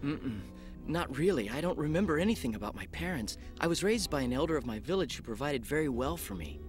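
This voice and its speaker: An earnest young cadet from a dubbed sci-fi anime, acted by a man. His voice sits fairly high and moves freely in pitch. He sounds thoughtful and sincere, with music clearly playing behind him. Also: expressive, a little fast, close to an everyday voice. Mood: earnest, reflective. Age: young adult. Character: earnest young male telepath cadet, orphaned villager. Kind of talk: English anime dub acting.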